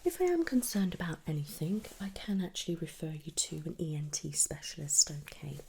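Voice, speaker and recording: A woman gently whispering and softly speaking in a breathy, reassuring way, as an audiologist in an ASMR medical roleplay. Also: deep voice, very animated, fairly quick, noisy background. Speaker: ASMR performer playing an audiologist. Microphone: close ASMR microphone.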